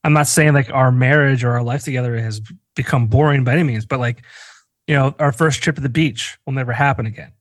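Animated self-mocking man in his forties. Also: medium pitch, brisk, dry recording. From northeastern Pennsylvania. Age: 40s. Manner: animated, self-mocking storyteller who swears freely. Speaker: podcast host. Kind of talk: casual chat.

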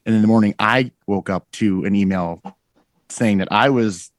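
Forty-something man, low and dry, rambling guest storyteller. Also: moderate pace, no echo. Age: 40s. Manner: rambling storyteller, many fillers and self-corrections. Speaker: guest musician. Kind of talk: casual podcast chat.

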